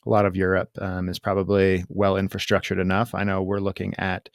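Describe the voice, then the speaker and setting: An American man co-hosting a podcast, speaking casually in measured, slightly hesitant phrases. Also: deep voice, monotone, vocal fry, dry recording, clean studio sound. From United States. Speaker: podcast co-host. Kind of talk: casual conversational.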